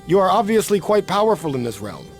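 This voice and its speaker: Higher-voiced, earnest warrior champion. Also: male voice, very animated. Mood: earnest, composed. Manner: measured, polite, formal. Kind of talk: video game cutscene acting. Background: music.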